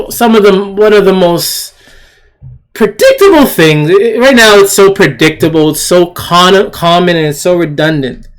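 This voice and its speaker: This is an opinionated video commentator speaking off the cuff at a slow pace. The delivery is halting and emphatic, often circling back to repeat a point. The tone is blunt, indignant and disdainful. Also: medium pitch, a bit bright.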